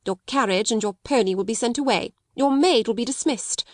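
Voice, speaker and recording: A woman's voice: fairly high pitch, a little fast, dry recording, clean studio sound, pitched above the performer's own voice, lightly acted. A woman reading the part of a stern, domineering headmistress, speaking coldly and sharply. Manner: cold, sharp, scolding. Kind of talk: audiobook character reading.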